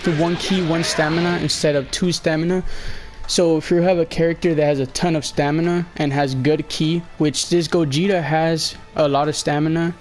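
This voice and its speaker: A man's voice: a little high, steady tone, moderate pace, soft background music. A young man with a slightly sick, fading voice, casually talking over gameplay, rambling and hesitant with many fillers. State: slightly sick, losing his voice. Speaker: gameplay commentator. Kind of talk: casual game walkthrough voice-over.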